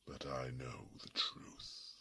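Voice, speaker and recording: Abyssally deep, whispering dragon. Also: male voice, fairly even intonation, sharp tone, exaggerated voice. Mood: weary, sorrowful. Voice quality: very deep, gravelly, breathy whisper. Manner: slow, deliberate, halting phrases with long pauses. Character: ancient male dragon, grieving widower, tender and weary. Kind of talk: ASMR fantasy roleplay monologue.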